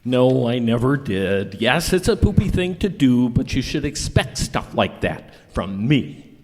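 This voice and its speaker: Man playing a melodramatic, slow, swooping supervillain. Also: mid-range voice, very animated, darkish tone. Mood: scornful. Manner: melodramatic, drawn-out menace. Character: gloating male supervillain of a silly comic skit. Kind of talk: amateur comic radio skit. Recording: reverberant hall, live recording.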